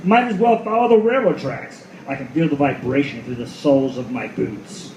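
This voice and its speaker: A man's voice: medium pitch, normal speed, darkish tone, close to an everyday voice. A man playing a cynical, world-weary detective who narrates his own noir radio play with profane, sardonic asides. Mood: weary, watchful. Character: hardboiled cynical male detective at the world's end. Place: live reading in a roomy venue. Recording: roomy live recording with faint noise.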